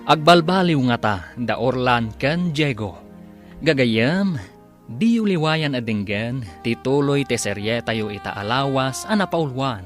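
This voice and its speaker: A man's voice: mid-range voice, animated, measured pace. A man narrating and announcing a radio drama serial in a scripted, dramatic style. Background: music under the voice.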